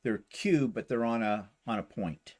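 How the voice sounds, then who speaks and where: A man's voice: mid-range voice, measured pace. A man chatting casually as a live show co-host, with a wry, joking way of chiming in. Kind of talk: casual chat.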